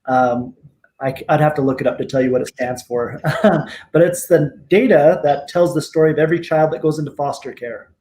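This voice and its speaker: A man co-hosting a talk show, explaining in a thoughtful, hedging way with many ums, his throat slightly rough. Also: on the high side, brisk, mellow.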